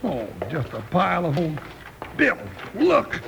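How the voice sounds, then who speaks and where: Cowboy sidekick, really high voice, folksy n grumbly, noisy old radio western. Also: male voice, wildly swooping pitch, measured pace, vocal fry, full character voice. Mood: grumbling. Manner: folksy, exasperated, complaining. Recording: noisy, crackly vintage radio recording.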